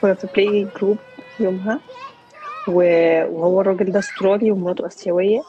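A woman from Egypt with an Egyptian Arabic accent and a husky voice, recounting an anecdote as an interviewee. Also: medium pitch, fairly even intonation, fast, dark tone. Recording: dull lo-fi recording.

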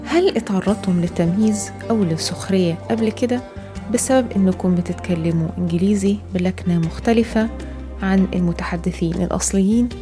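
A woman with an Egyptian Arabic accent, a radio presenter reading scripted feature narration in a clear, steady way. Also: mid-range voice, a little fast, over music.

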